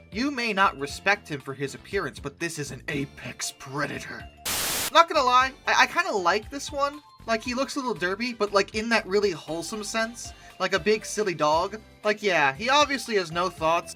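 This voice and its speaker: A video host delivers sarcastic comic commentary. The voice is bright and forceful, with animated pitch swings and mock-incredulous exasperation, over faint background music. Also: moderate pace. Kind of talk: comic commentary on dinosaur art.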